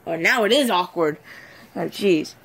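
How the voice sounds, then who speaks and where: A man's voice: high voice, animated, unhurried, creaky, fairly bright tone, slightly rough, rough recording. A teenage boy chatting casually on his vlog in a cluttered bedroom, playful and rambling, filming himself on a phone at arm's length. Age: teens. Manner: playful, rambling, hums filler sounds. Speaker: vlogger.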